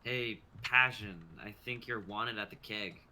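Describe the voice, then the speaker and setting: Young guy reading script lines, super bright sharp voice, noisy background. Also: on the low side, fairly even intonation, a little slow. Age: young adult. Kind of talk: dramatic script reading, casual dialogue. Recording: noticeable background noise, online stream.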